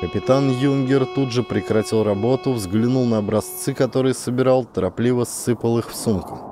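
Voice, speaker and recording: A man's voice: mid-range voice, moderate pace, music in the background. A man reading scripted fiction as a dramatic audiobook narrator.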